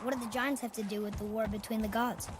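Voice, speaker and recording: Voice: mid-range voice, fairly even intonation, quick pace, background noise, close to an everyday voice. A young performer playing a curious, eager boy in a video game, with an earnest, inquisitive way of talking. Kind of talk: video game dialogue acting.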